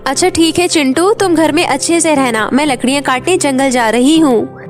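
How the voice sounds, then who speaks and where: A woman's voice: sits high, moderate pace, faint music behind, close to an everyday voice. A woman voicing a poor, caring orphaned elder sister in a cartoon story, earnest and tender. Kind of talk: Hindi cartoon moral story.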